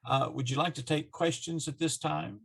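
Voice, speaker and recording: A man's voice: on the high side, steady tone, normal speed, creaky, fairly bright tone, breathy edge. A man moderating a video call, polite and a little hesitant.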